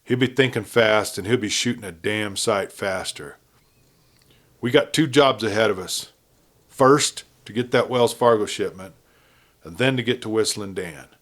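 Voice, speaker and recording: A man's voice: fairly low pitch, measured pace, fairly bright tone. A man voicing a ruthless, blunt outlaw gang leader with a folksy cowboy drawl in a Western story. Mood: uneasy, menacing.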